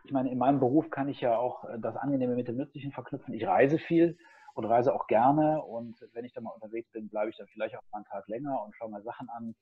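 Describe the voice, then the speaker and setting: Phone-band sound, middle-aged man, husky, medium pitch, casual interview guest. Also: fairly even intonation, quick pace. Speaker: podcast guest, keynote speaker and coach. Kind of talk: casual conversational interview answers. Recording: narrow, phone-like line.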